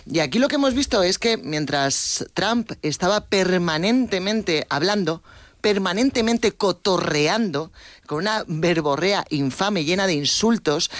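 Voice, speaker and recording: Higher-voiced man giving emphatic commentary. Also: a bit bright, no room sound. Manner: emphatic, piles up synonyms. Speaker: radio panel commentator. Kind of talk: opinionated political commentary. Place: radio studio.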